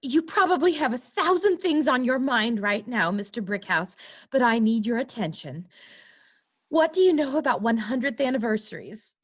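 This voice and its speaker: A woman's voice: a little high, animated, normal speed, breathy edge. An actress playing a prim, earnest young woman in a comic noir play, speaking precisely and insistently like a schoolteacher. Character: prim, earnest young woman client, a former teacher turned lawyer.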